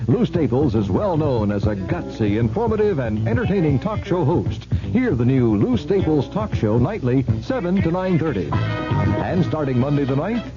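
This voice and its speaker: A man with a slightly high, animated voice reads a punchy station promo. The sound is dull old radio with music behind. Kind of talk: scripted promotional announcement.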